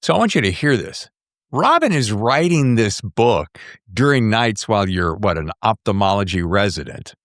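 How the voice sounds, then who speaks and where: Older guy hosting a podcast, gushing and excitable, voice goes up and down a lot, dry sound. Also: on the low side, normal speed, no room sound. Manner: effusive, excitable fan enthusiasm. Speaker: podcast host. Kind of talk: casual interview chat.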